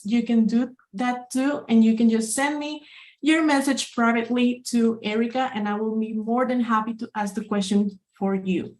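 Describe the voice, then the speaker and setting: Upbeat woman hosting an online chat. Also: a little high. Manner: upbeat, enthusiastic, with fillers and restarts. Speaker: webinar host. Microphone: video call.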